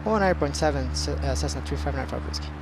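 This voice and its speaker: A young Brazilian man with a Brazilian accent, streaming a flight simulator and thinking aloud in a hesitant, halting way. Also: medium pitch, animated, noisy background. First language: Portuguese. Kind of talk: live flight-sim commentary with mock radio calls.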